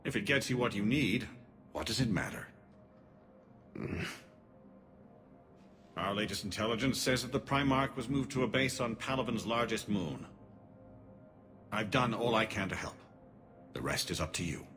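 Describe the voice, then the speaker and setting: Composed alien diplomat for a sci-fi game male actor: low register, measured, formal, pragmatic, music underneath. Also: close to an everyday voice. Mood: composed, diplomatic. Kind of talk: cinematic game dialogue.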